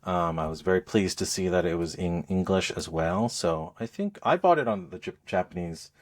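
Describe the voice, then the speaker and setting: Low voiced guy on a podcast reviewing games, casual, kinda halting as he talks. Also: lively intonation. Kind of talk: casual game review chat.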